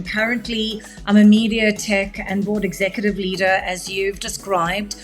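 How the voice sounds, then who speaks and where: Measured woman telling her story. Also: medium pitch. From South Africa. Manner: measured, articulate, explanatory. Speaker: guest, media tech executive. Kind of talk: podcast interview, long storytelling answer.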